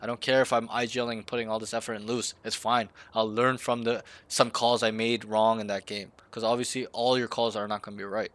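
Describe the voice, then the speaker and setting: A man's voice: fairly low pitch, little pitch movement, brisk, hardly any pauses, a bit bright. A man coaching a player over voice chat, talking directly, acting out team callouts and swearing casually. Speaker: game coach.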